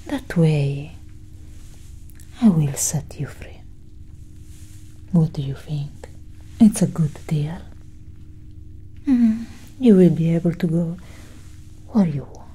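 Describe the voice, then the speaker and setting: A woman voicing a cool, curious female alien abductor in an ASMR roleplay, whispering softly and condescendingly close to the microphone. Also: low-pitched, exaggerated voice, poor audio. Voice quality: breathy, husky whisper. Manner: slow, soft, condescending, intimate.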